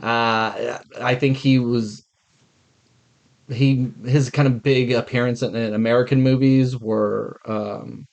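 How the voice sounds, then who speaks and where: A man's voice: medium pitch, steady tone, slow pace, vocal fry, darkish tone. Male podcast co-host, mid-range voice, informal review chat, frank and foul-mouthed. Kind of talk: casual film review chat.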